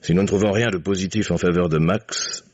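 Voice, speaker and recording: Very deep, husky older father. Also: fairly even intonation, darkish tone, no echo. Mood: grave, resigned. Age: middle-aged or older. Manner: measured, sardonic. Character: skeptical, dryly ironic older lawyer father. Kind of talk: 1950s French radio drama acting. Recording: dull, dry vintage radio recording.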